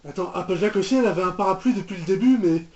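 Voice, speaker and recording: A man's voice: high voice, rapid-fire, a little mellow, faint music behind. A man giving casual, rambling, mock-exasperated commentary as he reacts to a cartoon, often repeating himself. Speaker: reaction video host. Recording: roomy, dull lo-fi microphone sound.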